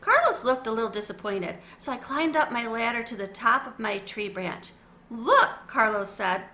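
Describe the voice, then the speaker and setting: A woman reading a children's chapter book aloud with expressive, animated storytelling. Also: on the high side, normal speed. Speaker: storybook reader. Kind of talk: children's read-aloud narration.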